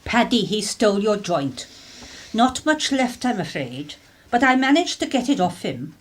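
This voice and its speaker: A woman's voice: medium pitch, big pitch swings, measured pace. A woman playing a nosy, self-satisfied English neighbour, breezily generous, in a radio play. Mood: apologetic. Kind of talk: British radio play acting.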